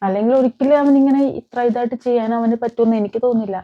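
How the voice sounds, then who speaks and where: A woman's voice: fairly high pitch, very fast, narrow, tinny audio. A woman giving emphatic, opinionated commentary on crime as a vlog host, repeating phrases and posing rhetorical questions. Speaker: vlogger, host.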